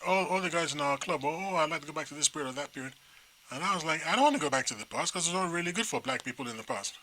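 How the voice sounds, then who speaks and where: A middle-aged man from Nigeria co-hosting a talk show, chatting in a rambling, animated way with many restarts. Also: fairly high pitch, racing, poor audio. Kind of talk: casual chat.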